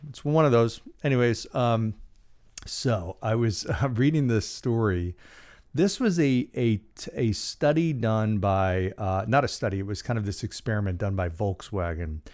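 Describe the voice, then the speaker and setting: A man in his forties hosting a solo leadership podcast in a small treated studio, talking casually with jokes and lively gestures. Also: on the low side, measured pace. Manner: casual, joking, animated with hand gestures. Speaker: podcast host. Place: small studio with dark acoustic panels. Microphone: large studio condenser mic in front.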